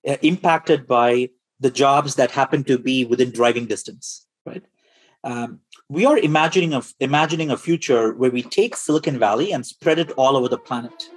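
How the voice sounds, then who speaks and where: A middle-aged man from India, a startup founder speaking in a measured way with pauses. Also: medium pitch, slightly rough. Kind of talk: visionary pitch-like answer.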